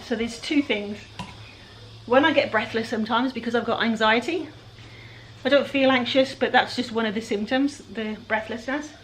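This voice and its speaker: Croaky woman, a bit breathless. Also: fairly high pitch, normal speed, a little hiss or hum, poor audio. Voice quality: croaky, raspy voice. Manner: chatty, often trails off quietly. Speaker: furniture flipper. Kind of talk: casual how-to chat while working.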